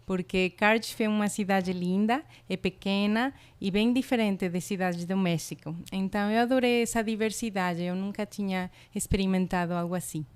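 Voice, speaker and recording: A middle-aged woman with a Spanish accent speaks in a smooth, clear voice. She is a native Spanish speaker and chats in an interview with enthusiasm, often filling pauses with little tags and hesitations. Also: mid-range voice, fairly even intonation. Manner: warm, enthusiastic, many fillers like "sabe" and "eh". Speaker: college international representative. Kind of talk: casual interview.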